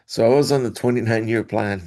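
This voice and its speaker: A middle-aged man from Hawaii, a guest in an interview, telling his story in a rambling way with restarts and soft laughs. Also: mid-range voice, fairly quick. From Hawaii, Oahu. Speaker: guest, athletic director association executive. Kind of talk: casual interview talk.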